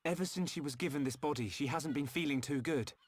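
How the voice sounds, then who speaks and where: A husky, earnest young hero. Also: male voice, on the high side, fast. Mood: worried. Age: young adult. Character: earnest, anxious young male swordsman hero. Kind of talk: scripted game cutscene acting.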